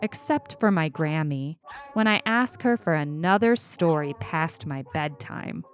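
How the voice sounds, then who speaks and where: A woman's voice: low voice, lively intonation, measured pace. A woman voicing a young schoolgirl in a story, eager, direct, impatient and a little sheepish. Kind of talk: storybook character reading.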